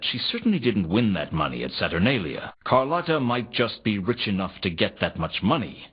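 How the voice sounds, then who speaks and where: An actor playing a world-weary, wry noir detective, narrating a game in a deadpan, sardonic way. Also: male voice, lowish voice, slightly rough, exaggerated voice. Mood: dry, suspicious. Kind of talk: scripted first-person noir game narration. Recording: dull lo-fi game audio.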